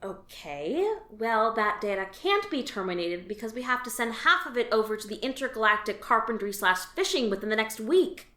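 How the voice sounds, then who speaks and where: A woman's voice: fairly high pitch, lively intonation, moderate pace, few pauses, pushed and bright. A woman voicing a jaded female alien office worker who talks about dull work in a dry, resigned, matter-of-fact way. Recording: roomy echo.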